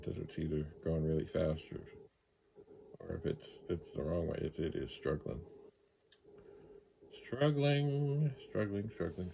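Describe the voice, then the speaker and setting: Deep, creaky-voiced older man, musing casually. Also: mellow, slight background noise. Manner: unhurried, musing, repeats words for emphasis. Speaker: vintage computer hobbyist presenting his channel. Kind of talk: casual rambling demonstration talk.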